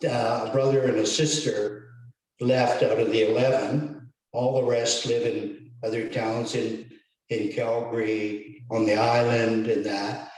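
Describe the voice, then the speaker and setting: A man from Canada speaking as a guest on a video call, slow and thoughtful with many pauses. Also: medium pitch, flat delivery, darkish tone, heavy reverb, far from the mic, low-quality recording. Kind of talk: casual talk on a video call.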